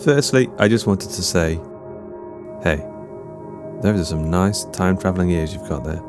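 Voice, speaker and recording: A man's voice: low voice, normal speed. A man introducing a podcast as its host in a playful, whimsically wordy manner over music. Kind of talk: scripted show introduction.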